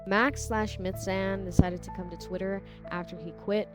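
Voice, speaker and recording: A woman's voice: medium pitch, fairly even intonation, moderate pace. A woman giving casual video commentary in an informal, opinionated way. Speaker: YouTube commentator. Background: music behind the voice.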